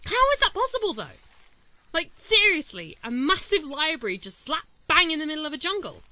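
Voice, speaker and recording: A woman's voice: high voice, sing-song, brisk, bright, forceful tone, heavily acted, poor audio. An actress playing a sceptical young woman companion who teases and questions in a fan audio drama. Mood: wary. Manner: wry, teasing, questioning.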